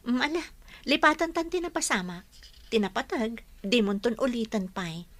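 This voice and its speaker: A woman's voice: fairly high pitch, lively intonation, moderate pace, fairly bright tone. A woman playing a kind, welcoming young nurse in an audio drama, speaking politely. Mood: agreeable. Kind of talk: Ilocano audio drama acting.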